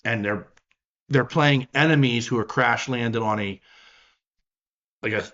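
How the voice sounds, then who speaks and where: A man's voice: medium pitch, measured pace, fairly bright tone, dull audio. A man in his fifties hosting a casual podcast chat, rambling and wry, poking fun at himself. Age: 50s. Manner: wry, rambling, self-deprecating. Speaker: podcast host.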